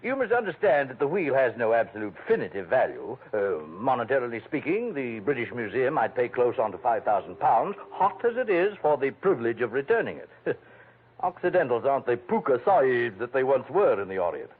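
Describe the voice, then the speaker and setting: A man's voice: mid-range voice, very animated, a little fast, husky. An actor playing a pompous, genteel, scheming male villain with florid, effusive phrasing in a 1940s radio drama. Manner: florid, effusive, chuckling, hesitant polite phrasing. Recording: tinny band-limited old radio recording.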